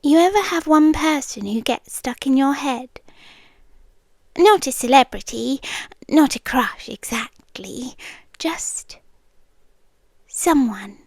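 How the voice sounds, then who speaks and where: A British woman playing a shy, obsessive yandere girlfriend in an intimate ASMR roleplay, soft, breathy and hesitant, often slipping into a whisper. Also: high voice, lively intonation, dry recording, full character voice. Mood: dreamy, obsessive. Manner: slow, hesitant, confiding. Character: shy, obsessive young yandere girlfriend, sweetly intense and nervous.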